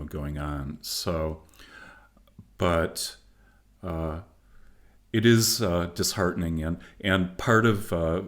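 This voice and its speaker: A middle-aged man, a philosopher and author, speaking as a podcast guest in a measured, reflective way with frequent pauses and fillers. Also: sits low. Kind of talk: podcast interview.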